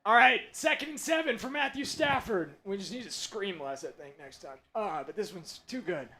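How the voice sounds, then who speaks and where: A man calling football plays live as a fan for a watch-party stream, losing his voice from screaming, with big plays yelled and quieter talk hushed and strained. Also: high voice, lively intonation, moderate pace. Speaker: watch party play-by-play host. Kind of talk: excited fan play-by-play on a livestream.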